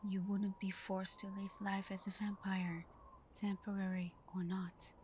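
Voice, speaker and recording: Woman acting as a devoted vampire girlfriend, ASMR roleplay, breathy half-whisper, even pitch, gentle halting delivery, some background noise. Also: natural voice. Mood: guilty, wistful. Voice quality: soft, breathy, often whispered. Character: tender, guilt-ridden vampire girlfriend, soothing and devoted.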